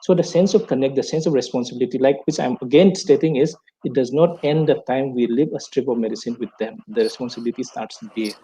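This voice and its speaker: A man's voice: medium pitch, fast talker, hardly any pauses, warm tone, slight background noise, poor audio. A man, a panelist who earnestly explains points and asks rhetorical questions. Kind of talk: online panel discussion.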